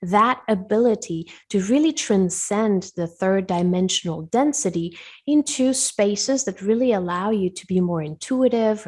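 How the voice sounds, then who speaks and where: A woman with a Spanish and French influenced accent, explaining things reflectively as an interview guest and pausing for words. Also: mid-range voice, darkish tone. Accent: foreign, Spanish and French influenced. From Colombia and Switzerland. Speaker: guest, wellness coach. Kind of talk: conversational interview answers.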